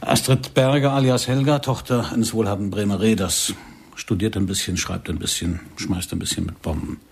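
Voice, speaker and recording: An actor in a radio drama playing a controlled, brooding secret-service handler who speaks in a measured, grave way. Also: male voice, fairly low pitch, fairly even intonation, creaky, slightly rough. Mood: serious briefing.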